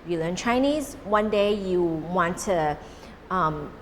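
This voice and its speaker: A woman's voice: fairly low pitch, lively intonation, slow pace. A Thai woman whose first language is Cantonese, answering interview questions earnestly and often restarting her sentences. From Thailand. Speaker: interview guest. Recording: reverberant room with faint noise.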